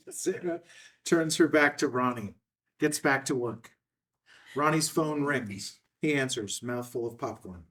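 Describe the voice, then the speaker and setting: A man's voice: mid-range voice, measured pace, fairly bright tone, far from the mic. A man reading stage directions as narrator at a screenplay table read.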